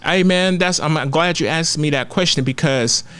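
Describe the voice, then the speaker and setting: A man's voice: a little high, moderate pace, a bit bright. Young bay guy, rapper being interviewed, loose and candid, swears a lot. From Oakland, California. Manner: candid, profane, slangy storytelling. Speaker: rapper guest. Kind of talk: casual interview chat.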